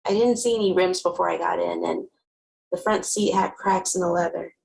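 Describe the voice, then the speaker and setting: A woman playing a wry, guarded, sharp-tongued teenage girl who narrates her own story conversationally in a dramatic novel reading. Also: fairly low pitch, fairly even intonation, normal speed, creaky, poor audio. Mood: casual. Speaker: actor.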